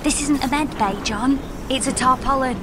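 A woman's voice: high voice, normal speed, heavy background noise, lightly acted. A woman playing an exasperated, sardonic space traveller in a sci-fi audio comedy, incredulous and dry in manner. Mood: dryly sardonic.

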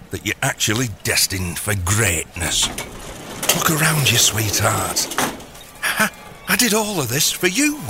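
A man's voice: mid-range voice, noisy background. A man playing a gleeful, grandiose megalomaniac father, wheedling theatrically in an audio comedy drama. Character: gleeful megalomaniac villain, doting scheming father.

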